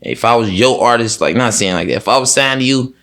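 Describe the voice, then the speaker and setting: A man's voice: medium pitch, fast talker, fairly bright tone. A man in his twenties with an American accent, chatting casually as a rapper in an interview, with slangy, sweary talk. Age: 20s. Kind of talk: casual chat.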